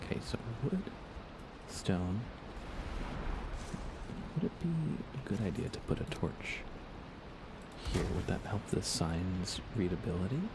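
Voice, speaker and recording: Soft-spoken male ASMR game streamer, deep and crackly, murmuring unhurriedly close to the mic over audible background noise. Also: very animated. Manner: gentle, unhurried, thinking aloud. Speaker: live streamer, host.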